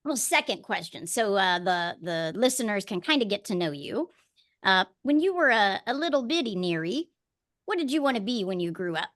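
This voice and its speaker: A woman's voice: mid-range voice, very animated, normal speed, a bit bright. A woman hosting a casual interview, talking in a chatty, informal and joking way. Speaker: podcast host. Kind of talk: fun casual interview chat.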